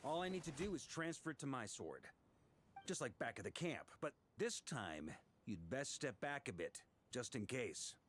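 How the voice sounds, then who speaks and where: An actor playing a jovial middle-aged knight commander, genial and easygoing in a game. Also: male voice, medium pitch, big pitch swings, moderate pace, fairly bright tone, a little hiss or hum. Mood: calm. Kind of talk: video game voice acting.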